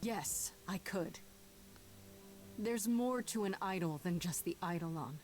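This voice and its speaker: A woman's voice: lowish voice, measured pace, a little mellow, soft background music, natural voice. An actress playing a bold, defiant young woman who accuses gods, in a video game. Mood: firm accusation.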